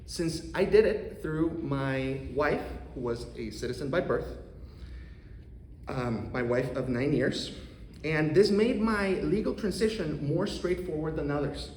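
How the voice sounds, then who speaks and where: A man in his thirties from Costa Rica with a Costa Rican accent, an economics professor giving an earnest, measured prepared talk to a congregation. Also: on the high side, lively intonation, darkish tone, slight background noise. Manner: earnest, measured, with occasional restarts. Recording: roomy hall reverb, faint ambience.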